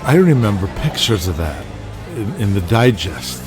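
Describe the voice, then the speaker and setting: A man playing a young, cocky, tipsy wrestler's sidekick in an audio drama, loose and excitable. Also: on the low side, moderate pace. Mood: interested. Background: music.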